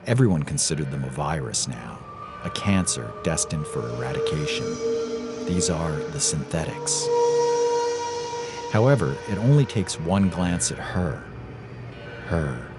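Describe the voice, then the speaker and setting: A man's voice: extremely low pitch, huge pitch swings, a little slow, long pauses. A man giving dramatic scripted narration of a science fiction story. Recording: music clearly underneath. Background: music.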